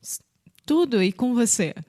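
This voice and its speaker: A woman with a Rio de Janeiro accent, co-hosting a language podcast and patiently explaining everyday greetings, acting out examples with bright jumps in pitch. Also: animated, unhurried. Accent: Rio de Janeiro Portuguese. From Brazil. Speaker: podcast co-host teaching Portuguese. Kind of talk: casual teaching chat.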